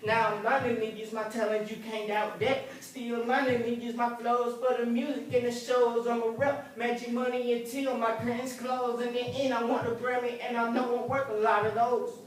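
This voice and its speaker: A man's voice: very high voice, level tone, moderate pace, hardly any pauses, recorded from a distance. Young man rapping in an echoey hall. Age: young adult. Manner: rhythmic rapping with sung hook. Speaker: graduate performing a rap. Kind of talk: rap performance. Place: large hall at a graduation ceremony.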